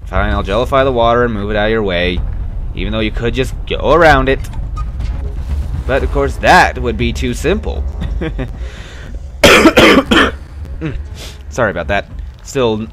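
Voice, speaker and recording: A man's voice: mid-range voice, lively intonation, slow pace, pauses often, a bit bright, faint music behind. A young man hosting a casual let's play, rambling and wryly complaining about the game, a bit stuffy with a slight cough. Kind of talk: casual gameplay commentary.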